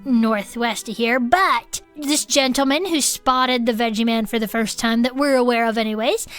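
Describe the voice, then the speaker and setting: A woman's voice: fairly high pitch, normal speed, a bit bright, clear, resonant tone, soft background music. A woman co-hosting a podcast, telling a story in a chatty, explanatory and comedic way. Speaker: podcast co-host.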